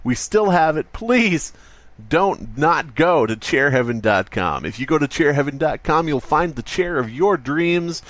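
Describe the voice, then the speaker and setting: A man's voice: fairly high pitch, animated, unhurried, fairly bright tone, dry recording. A man playing an over-eager, rambling salesman obsessed with his product in a spoof radio commercial. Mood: eager pitch.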